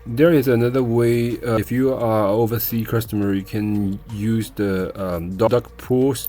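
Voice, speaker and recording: A man presenting a step-by-step software tutorial in an unscripted, halting way, pausing to search for words. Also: lowish voice, steady tone, faint music behind. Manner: hesitant, halting delivery with searching pauses.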